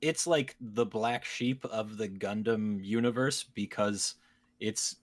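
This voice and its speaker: A man's voice: fairly low pitch, takes it slowly. A man hosting a casual podcast, chatting in a joking, playfully teasing way. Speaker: podcast host. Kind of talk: casual comedic podcast chat.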